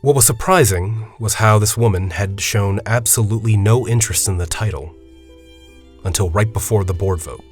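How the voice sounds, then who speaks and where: An actor playing a forty-year-old university headmaster in an audio drama, a secretive academic who speaks in a deliberate, articulate, confiding way. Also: male voice, fairly low pitch, husky, soft background music. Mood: confiding, somber. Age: 40s. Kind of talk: audio drama dialogue and storytelling.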